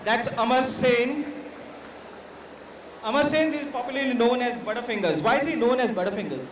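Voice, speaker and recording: A man with an Indian accent and a fairly high voice hosts a quiz for a live audience. He sounds distant from the microphone, in a very echoey space. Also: moderate pace, crowd noise. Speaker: art director and quiz co-host. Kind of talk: informal live event address to an audience. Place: comic convention event. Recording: echoey, noisy event venue, distant pickup.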